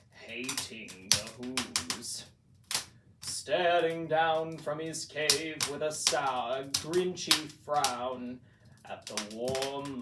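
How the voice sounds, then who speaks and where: Slow, dramatic male storyteller in an echoey room. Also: fairly high pitch. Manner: deliberate, dramatic, builds repetitions for emphasis. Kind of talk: theatrical storybook narration in imitation of a classic horror actor. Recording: roomy, distant mic, faint noise.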